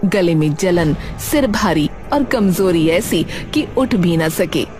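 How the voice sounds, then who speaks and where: A woman narrating a scripted cartoon story in an expressive storytelling style. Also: on the low side, slow, music in the background.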